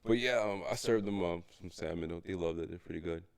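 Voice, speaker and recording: Young male co-host, low raspy voice with dry close sound, relaxed storyteller. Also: quick pace, no echo. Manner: laid-back storyteller who gets animated. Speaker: podcast co-host. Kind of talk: casual chat.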